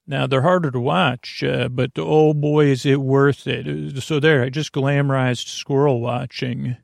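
Man, creaky fry-laden voice, dry sound, meandering podcast monologue, halting, self-interrupting, drifting into tangents. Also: mid-range voice, fairly even intonation, dry recording. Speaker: podcast host. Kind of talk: rambling bedtime podcast monologue.

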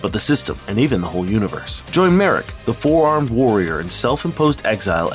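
A man reading dramatic scripted narration for a podcast trailer. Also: medium pitch. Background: light music.

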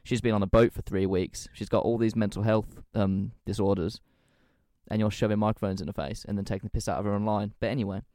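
An English man in his twenties co-hosting a podcast, chatting casually with quick, animated asides. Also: on the low side, no room sound. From England. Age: 20s. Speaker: podcast co-host. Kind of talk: casual chat.

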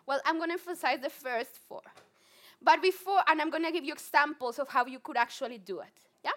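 A young woman from Colombia with a Colombian Spanish accent, a psychologist giving an earnest, animated public talk with frequent restarts. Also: high voice, brisk. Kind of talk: public talk to a live audience.

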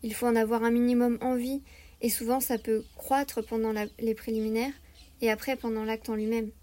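A woman with a fairly high but mellow voice shares a personal account. She speaks in a calm, flat, reflective tone. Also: moderate pace. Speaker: interviewee. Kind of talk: personal testimony.

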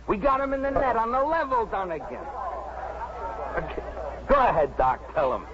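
An actor playing a brusque, excitable working-class man in a 1950s radio drama, with clipped speech. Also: very high-pitched, normal speed. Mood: excited. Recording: noisy, muffled 1950s radio recording.

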